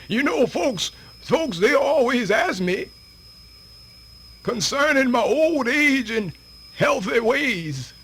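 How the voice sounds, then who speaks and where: A man's voice: very high voice, lively intonation, a bit bright, slight background noise, full character voice. A man playing a spirited, very old American folk storyteller born a slave, dramatic and folksy in a radio theatre monologue. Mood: folksy, rambling. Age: older. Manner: dramatic drawn-out delivery, folksy hesitations and drawl.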